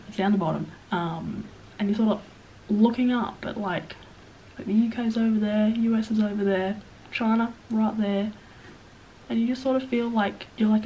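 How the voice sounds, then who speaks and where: A woman in her early twenties with an Australian accent, talking casually to camera with a stuffed-up, nasal voice, rambling and self-deprecating, sometimes dropping to a soft whisper. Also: medium pitch, lo-fi sound, slight background noise. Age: 20s. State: sniffly, stuffed-up nose. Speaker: vlogger.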